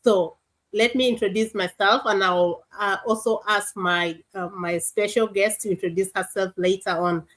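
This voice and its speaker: A woman's voice: mid-range voice, fairly even intonation, normal speed, fairly bright tone, rough recording. A woman from Sudan, hosting a live online interview show in an earnest way and often repeating words for emphasis. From South Sudan. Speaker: host. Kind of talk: livestream interview.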